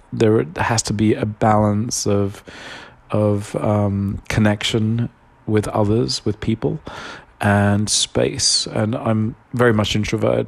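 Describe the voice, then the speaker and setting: A man, stuffed up with a cold, talking openly and hesitantly in a solo podcast monologue, full of pauses and fillers. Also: lowish voice, vocal fry, a little mellow. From London, England. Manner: open, confessional, hesitant with many fillers. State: congested with a cold. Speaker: podcast host.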